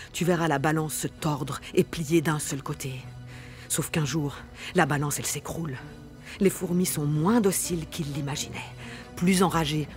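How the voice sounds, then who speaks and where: A woman's voice: lowish voice, close to an everyday voice. An actress playing an angry, defiant woman worker in a radio fiction, speaking with impassioned, militant bitterness. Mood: bitter, resigned, then rising defiance.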